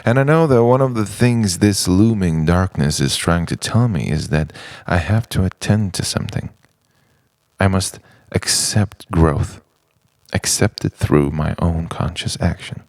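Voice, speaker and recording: Very deep, creaky-voiced man musing poetically. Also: expressive, darkish tone, no echo. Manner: deliberate, halting phrases with dramatic pauses, dropping at times toward a whisper. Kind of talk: introspective spoken-word monologue, poetic letter to himself.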